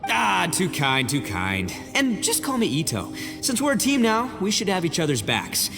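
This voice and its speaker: An actor playing a brash, boastful young Oni gang boss in a video game cutscene, blustering and theatrical. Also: male voice, high voice, wildly swooping pitch, normal speed, creaky, full character voice. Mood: bluff, protective. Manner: theatrical, blustering, swings from cocky to flustered. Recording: long reverb, music behind.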